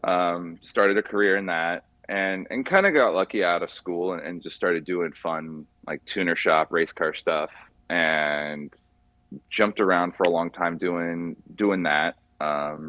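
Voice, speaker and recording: A man from California, chatting casually and haltingly as an interview guest as he tells his story in an informal, rambling way. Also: low voice, no room sound, muffled recording. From California, USA. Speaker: podcast guest, car mechanic by trade. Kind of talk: casual chat.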